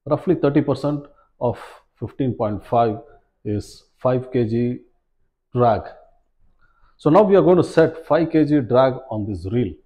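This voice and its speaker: A man in his forties presenting an instructional fishing demonstration in a measured, step-by-step way, recorded indoors. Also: mid-range voice, lively intonation, mellow. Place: small plain room indoors, seated at a table.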